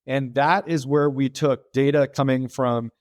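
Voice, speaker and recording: A man's voice: medium pitch, steady tone, unhurried, darkish tone, dry recording. A middle-aged American man, a podcast guest, explaining his business in a casual interview with many fillers and restarts. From United States. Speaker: podcast guest, freight tech founder.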